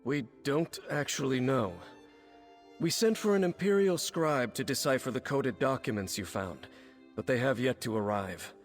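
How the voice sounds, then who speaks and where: An actor plays a sober male commander in a video game, mid-pitched and formal. He briefs his operatives in measured, serious sentences with a dutiful air, with music underneath. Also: lively intonation, natural voice. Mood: grave, concerned. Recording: game dialogue over background music.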